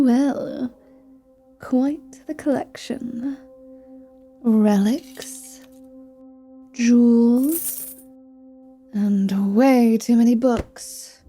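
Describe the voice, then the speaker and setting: A sardonic, flirty female vampire acted by a woman in a fantasy audio roleplay. Her tone is very mellow and dark, though pitched a little high, and she takes her time. She is clever, impatient and quick to swear. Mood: brisk, wry. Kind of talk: ASMR fantasy roleplay acting.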